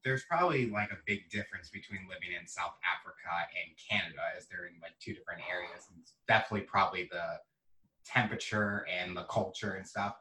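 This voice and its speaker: Male podcast interviewer, crisp bright tone, fairly low pitch, conversational, recorded in a reverberant room. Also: quick pace. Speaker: podcast host. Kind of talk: podcast interviewing, casual questions.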